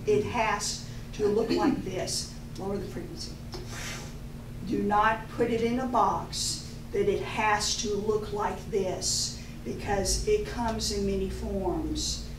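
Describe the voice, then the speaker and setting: A woman delivers a spiritual talk at a slow, emphatic pace, repeating phrases for weight. She is recorded from a distance in an echoey room, with noticeable noise behind her. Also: medium pitch. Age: 50s. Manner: deliberate, emphatic, repetitive phrasing. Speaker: spiritual teacher. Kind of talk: inspirational teaching to a congregation. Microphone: distant microphone.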